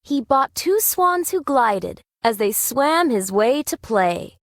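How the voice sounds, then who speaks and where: A woman with a fairly high voice reads rhyming children's verse as narrator. Her pitch moves around a lot, her pace is unhurried, and the recording is dry. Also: very animated, dry recording. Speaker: storybook narrator. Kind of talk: rhyming children's read-aloud.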